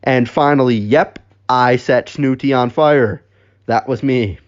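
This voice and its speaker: A narrator playing a theatrical, boastful culprit, melodramatic and drawn-out, in a comic story. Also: medium pitch, highs cut off, lightly acted. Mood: gloating.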